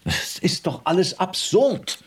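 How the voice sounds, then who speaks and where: An actor playing a blustering company director under pressure in a radio play, indignant and defensive. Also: male voice, high voice, wildly swooping pitch, takes it slowly, husky, recorded from a distance, full character voice. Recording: voice set back from mic.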